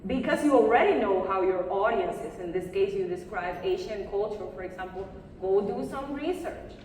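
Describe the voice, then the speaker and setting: A woman public speaking coach giving a live conference talk, expressive, encouraging and direct in her advice. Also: medium pitch, normal speed. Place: conference session room before a live audience. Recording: very echoey hall, far microphone, faint noise.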